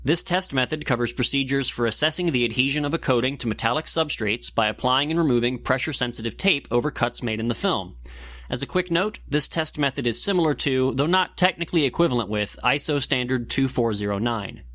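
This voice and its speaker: A man's voice: mid-range voice, brisk, fairly bright tone, no room sound. A man presenting a scripted instructional segment in a methodical, step-by-step way. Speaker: segment presenter and lab technician. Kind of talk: scripted explainer narration.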